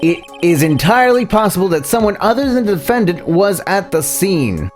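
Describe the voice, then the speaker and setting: A man playing an earnest, argumentative young lawyer, higher-pitched. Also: very animated, moderate pace, faint music behind. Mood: firm. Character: earnest, argumentative defense lawyer in a courtroom game.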